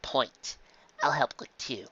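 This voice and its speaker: A man's voice: medium pitch, slow, sharp tone, husky, highs cut off, heavily acted. A man voicing a sardonic, grumbling but loyal mare in a story, muttering in an exasperated way. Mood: grudging.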